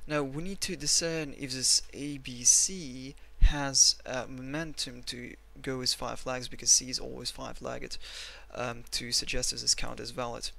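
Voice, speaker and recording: A man with a Russian accent walks through market charts at his desk, rambling and self-mocking. His voice is gravelly and bright, recorded on a desk mic. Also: medium pitch, steady tone, a little slow, hardly any pauses. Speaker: trading analyst. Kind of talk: screen-recorded chart analysis.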